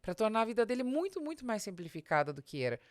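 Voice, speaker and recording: A woman's voice: a little high, big pitch swings, fast talker, no echo, studio quality. A Brazilian woman giving an explanatory business podcast talk, speaking straight to the listener in a didactic way with rhetorical questions. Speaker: business advisor and podcast host.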